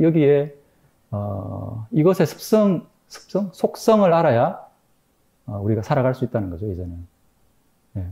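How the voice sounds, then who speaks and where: Man lecturing in a muffled, dark tone. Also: mid-range voice. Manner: thoughtful, hesitant, many fillers and pauses. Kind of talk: public lecture. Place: gallery lecture room with projector. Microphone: microphone stand at lectern.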